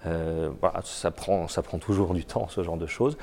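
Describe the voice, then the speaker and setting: Cast a low gravelly man with a head cold, an executive giving halting interview answers. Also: a little mellow. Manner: halting, many repeated words and fillers. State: has a cold. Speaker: biotech company executive.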